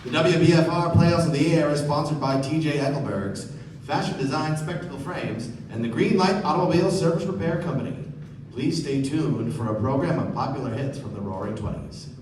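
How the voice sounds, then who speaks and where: Actor as higher-voiced vintage radio announcer, echoey. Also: male voice, brisk, lightly acted. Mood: formal, showy. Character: old-time radio announcer, formal, showy male. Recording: echoey hall, distant mic, noisy.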